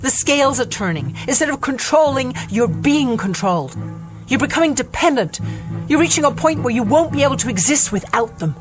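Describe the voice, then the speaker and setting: Passionate rebellious daughter, lively swooping voice. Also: female voice, sits high, brisk, music in the background. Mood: bitter, defiant. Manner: earnest, intense, articulate. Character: sheltered, rebellious, passionate grown daughter of an inventor. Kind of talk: radio drama acting.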